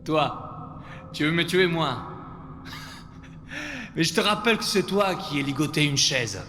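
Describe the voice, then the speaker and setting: A man playing a menacing terrorist in a French audio drama, taunting his enemy in a deliberate, theatrical, mocking manner. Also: fairly high pitch, big pitch swings. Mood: mocking, threatening. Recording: reverberant hall, faint background noise.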